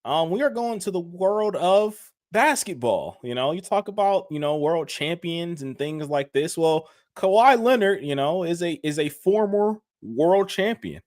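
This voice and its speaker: A man co-hosting a sports podcast, explaining news and making his case in a measured, casual way. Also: high-pitched, lively intonation, darkish tone, dry recording, studio quality. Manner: measured, explaining, some restarts. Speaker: podcast co-host.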